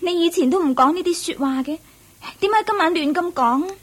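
An actress playing a tender, devoted young princess and mother in a Cantonese radio drama, speaking softly and affectionately with a questioning lilt. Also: very high-pitched, fairly quick. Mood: hurt, pleading.

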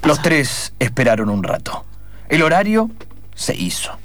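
A man's voice: on the high side, wildly swooping pitch, unhurried, a bit bright, no echo. A man with an Argentine accent narrating an improvised radio theatre story in a dramatic storyteller's style.

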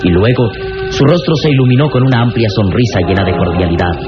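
A man's voice: mid-range voice, expressive, quick pace. Male dramatic radio narrator, muffled vintage sound. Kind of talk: dramatic scripted 1960s radio serial narration. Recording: dull vintage radio recording with music.

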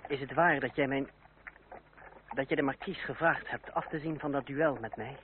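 A man's voice: medium pitch, normal speed, natural voice. An actor playing an earnest, brooding young nobleman in a radio play, restrained and a little hesitant in his questioning. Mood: probing, baffled. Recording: noisy, narrow-band old radio recording.

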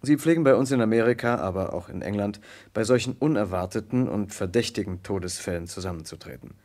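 An actor playing an earnest young American lawyer who narrates a murder case in a measured, precise way in a vintage German radio play. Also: male voice, on the low side, expressive, a little mellow. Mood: measured, grave.

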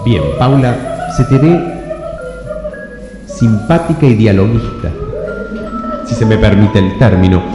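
A man's voice: fairly low pitch, expressive, takes it slowly, pauses often. A man with a Rioplatense Argentine accent hosting a radio theatre show, playfully interviewing an audience member. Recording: very echoey hall with music.

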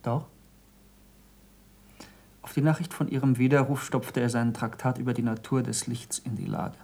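An actor playing an earnest, intense former pupil of a scientist in a German radio play, speaking tersely and formally, with stern gravity. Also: male voice, medium pitch, fairly even intonation, normal speed, vocal fry, a little mellow. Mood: curt, reproachful.

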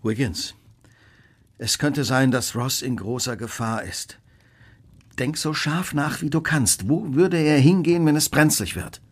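An actor playing a brilliant, coolly analytical detective in a German radio play, speaking precisely and with authority. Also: male voice, medium pitch, expressive, unhurried, breathy edge. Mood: urgent, probing.

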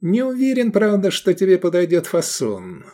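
A man's voice: high-pitched, huge pitch swings, moderate pace, darkish tone, slightly rough, pitched above the performer's own voice, exaggerated voice. A man voicing a fussy, obliging cloth merchant with ingratiating sales patter in an audiobook.

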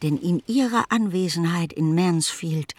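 An actress playing a novelist narrator, fond of her heroine, articulate and measured in an audio drama. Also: on the low side, no echo. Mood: composed, grave.

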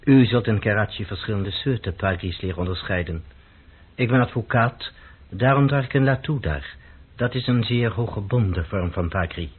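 An actor playing a dignified, serious lawyer of about thirty in a radio play, speaking in a measured, courteous, explaining way. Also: male voice, lowish voice, slightly rough. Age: 30s. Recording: dull, muffled old radio recording.

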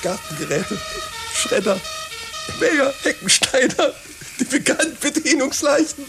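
An actor playing a deferential young businessman in a satirical radio play, speaking formally and a little haltingly. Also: very high-pitched, sing-song, music in the background, heavily acted, low-quality recording. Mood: eager. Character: deferential young businessman making garden tools.

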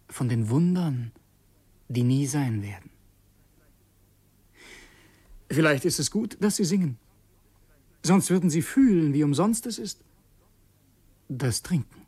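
An actor playing an earnest, brooding revolutionary who narrates in an intense, halting way in a radio play. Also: male voice, mid-range voice, lively intonation, warm tone, slightly rough, soft background music. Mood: melancholy. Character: earnest, brooding male revolutionary narrating his secret errand. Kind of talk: radio play, first-person dramatic narration.

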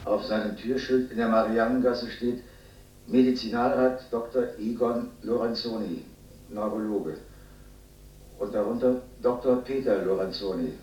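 Deep-voiced, brooding old Austrian man, played by an actor. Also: unhurried, a little mellow, lightly acted. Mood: bitter, reflective. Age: older. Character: lonely old male communist, brooding over the past. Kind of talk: radio play acting. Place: large echoing empty hall. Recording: echoing, distant radio play recording.

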